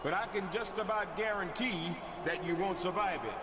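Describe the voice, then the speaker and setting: A man's voice: high voice, normal speed, lightly acted. An actor playing a smug, taunting wrestling general manager in a video game cutscene, drawing out his announcements dramatically. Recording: game audio with loud arena noise.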